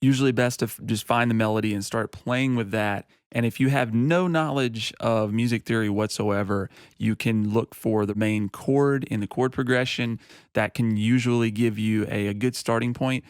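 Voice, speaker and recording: A man with a deep voice explaining audio software in a relaxed, casual tutorial manner. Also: normal speed, clear, resonant tone, no echo, studio quality. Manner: relaxed, explanatory, uses fillers like "you know".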